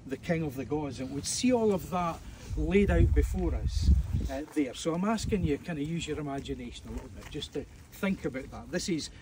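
A man's voice: a little high, animated, a little fast. A man with a Scottish accent preaching conversationally to a tour group outdoors, with jokes and fillers. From Scotland. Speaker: evangelist. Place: outdoors at ancient ruins. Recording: distant mic outdoors, faint background noise.

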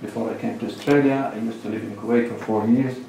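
Lebanese guy giving a conference talk, kinda low voice, big echoey hall, mic far off. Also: steady tone, warm tone, slight background noise. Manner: measured, lists points with pauses. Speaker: conference speaker. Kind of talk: academic presentation. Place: large lecture hall. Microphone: lectern microphone, far camera.

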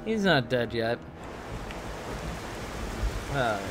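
A hoarse young man with a sore throat, rambling and laughing at himself as he comments on a game from a headset at home. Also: mid-range voice, big pitch swings, extremely slow. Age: young adult. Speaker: streamer. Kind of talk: casual gameplay commentary. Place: room at home. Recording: game music playing behind.

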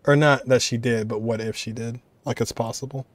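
A young man talks casually as a streamer reacting to a game. His pitch is mid-range and the sound is dry. He muses in halting phrases that often trail off. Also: fairly even intonation, no room sound. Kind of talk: casual off-the-cuff commentary.

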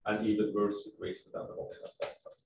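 A man's voice: fairly low pitch, little pitch movement, quick pace, gravelly, a little mellow, highs cut off, a little hiss or hum. Male panel expert, blunt and emphatic, distant mic in an echoey room. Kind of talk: unscripted analytical panel commentary.

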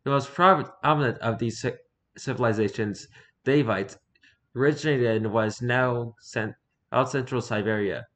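Halting man reading horror fiction aloud. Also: medium pitch, a bit bright. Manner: stumbles over words, self-correcting. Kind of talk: scripted narration read aloud, halting.

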